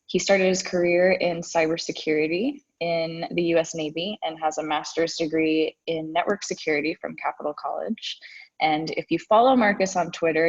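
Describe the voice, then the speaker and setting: A woman's voice: on the low side, dull audio. A woman moderating a webinar, reading a prepared introduction with a few fillers. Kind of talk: scripted introduction.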